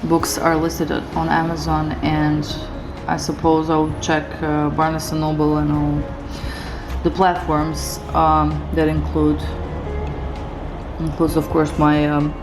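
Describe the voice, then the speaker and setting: Woman in her thirties, Serbian native speaker, low voice, hesitant musing vlog delivery, roomy studio sound. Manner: reflective, hesitant, many fillers, laughs softly. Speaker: illustrator vlogger. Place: studio room indoors.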